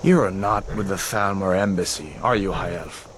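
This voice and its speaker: An actor plays a dutiful but sympathetic soldier in a fantasy video game. His voice is a little low and measured, with quiet authority, over clear ambient noise. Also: close to an everyday voice. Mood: questioning, wary. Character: dutiful, sympathetic male Imperial soldier with prisoner list. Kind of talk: video game character acting. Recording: noisy outdoor game scene ambience.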